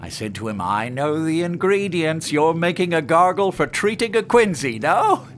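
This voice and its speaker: A man playing a skeptical, reasonable colonial apothecary in a radio drama, with a drily expressive delivery. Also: on the high side, moderate pace, a little hiss or hum. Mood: skeptical, reasoning. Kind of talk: radio drama acting in period speech.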